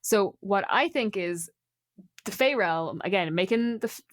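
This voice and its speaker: A woman's voice: medium pitch, lively intonation, measured pace. A woman with an Irish accent chatting casually, thinking aloud in a rambling way. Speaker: player and co-host on a show. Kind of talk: casual chat.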